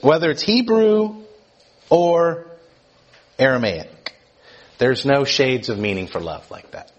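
A man's voice: mid-range voice, animated, slow pace, highs cut off. A middle-aged man from Georgia, preaching a casual teaching sermon with frequent "right?" check-ins to the room. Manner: conversational, keeps asking "right?" to the room.

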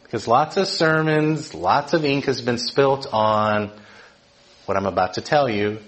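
A man's voice: mid-range voice, measured pace, fairly bright tone, poor audio. Man preaching with constant "right?" checks. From Georgia, US. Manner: conversational, keeps asking "right?" to the room. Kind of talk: teaching sermon.

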